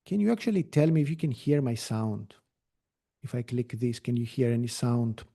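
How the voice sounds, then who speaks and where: A man whose first language is Greek talks through a screen-shared software demo on a video call. His voice is mellow and sits at a middle pitch. He explains in a relaxed way, with frequent fillers and restarts. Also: moderate pace, creaky. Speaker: webinar presenter.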